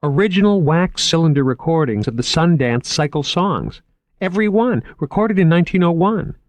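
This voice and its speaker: A man voicing an eager, shy young male museum curator who talks fast and stammers when he gets flustered. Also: a little high, lively intonation, no room sound, highs cut off, voice pushed up, close to an everyday voice.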